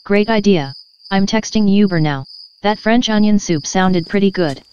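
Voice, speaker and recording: A woman's voice: mid-range voice, moderate pace, a little mellow, highs cut off, a little hiss or hum, lightly acted. A woman playing a practical, eager sidekick in a comic horror audio drama. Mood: upbeat.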